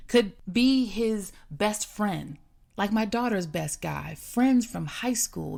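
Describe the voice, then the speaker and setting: An American woman reciting her poem in a measured, deliberate way, letting line endings drop away. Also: on the low side, vocal fry. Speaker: poet. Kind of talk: poetry reading.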